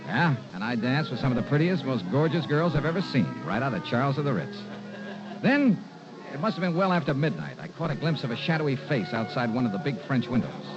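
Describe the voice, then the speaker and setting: A man's voice: medium pitch, very animated, fairly quick, lightly acted. Hard-boiled male investigator, played by an actor, mid-range, wry and clipped, narrating vintage radio noir over music. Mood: wry, watchful. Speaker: lead detective and narrator. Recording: dull vintage radio recording.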